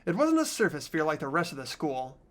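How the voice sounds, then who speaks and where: A man's voice: fairly high pitch, huge pitch swings, fairly quick. A man voicing an earnest, anxious teenage boy who narrates a mystery in an amateur game dub. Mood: uneasy. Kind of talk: amateur voice acting of a video game.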